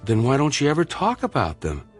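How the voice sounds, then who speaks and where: A man's voice: mid-range voice, huge pitch swings, fairly quick, breathy edge, faint music behind. An actor playing an earnest male medical colleague with a probing, moralising, sardonic manner in a radio drama. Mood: earnest, pleading.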